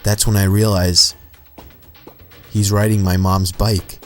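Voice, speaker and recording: A man with a fairly low voice reads creepy true stories in a calm, steady, scripted way. Soft music runs quietly beneath his narration. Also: slow pace. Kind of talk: scripted horror story narration.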